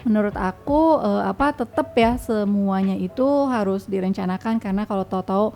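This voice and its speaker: A woman's voice: medium pitch, fairly quick, darkish tone. A woman with an Indonesian accent chatting casually and informally as a guest, dropping in English words. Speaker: guest sharing experiences and tips.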